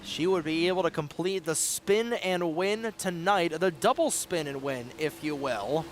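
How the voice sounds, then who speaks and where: A man doing play-by-play commentary for an online racing league, excitable and quick, with a slightly raspy voice. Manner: excitable, fast-moving play-by-play with sudden rises in pitch at close calls. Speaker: race commentator. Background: race car engine noise.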